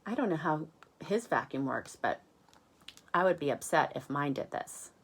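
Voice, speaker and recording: A woman's voice: low-pitched, animated, moderate pace, a little hiss or hum. A woman reading a picture book aloud to young listeners, adding chatty asides, questions and exclamations. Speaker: read-aloud storyteller.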